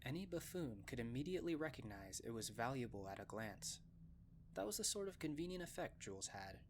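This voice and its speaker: A man's voice: mid-range voice, very animated, brisk, slight background noise. A man narrating a scripted story in an audiobook style.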